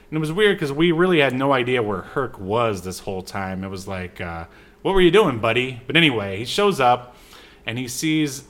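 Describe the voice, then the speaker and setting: Halting male podcaster recapping a movie. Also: medium pitch, a bit bright. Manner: halting, reading from notes with joking asides. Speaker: podcast co-host. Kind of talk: casual scene-by-scene movie recap.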